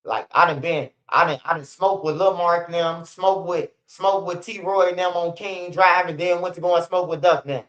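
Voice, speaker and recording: Man, 40s, livestream host, blunt and profane, a bit high-pitched, muffled lo-fi audio. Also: fairly even intonation, normal speed, hardly any pauses, fairly bright tone. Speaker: host of a street news channel. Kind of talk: casual livestream storytelling.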